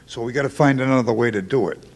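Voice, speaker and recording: A man's voice: mid-range voice, fast, a little hiss or hum. An older man speaking bluntly from the floor at a town meeting, holding a handheld mic. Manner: blunt, plain-spoken. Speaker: audience member. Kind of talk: public comment at a town meeting. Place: school auditorium, speaking from the floor. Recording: hall reverb in a large auditorium.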